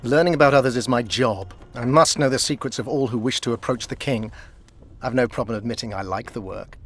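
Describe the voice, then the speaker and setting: A man's voice: mid-range voice, fairly quick, slight background noise, natural voice. Casting: man for shrewd court interrogator, fantasy game, medium register, articulate, cold and insinuating. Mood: cold, menacing. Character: shrewd, coldly proud royal spymaster, an adult man. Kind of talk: video game dialogue acting.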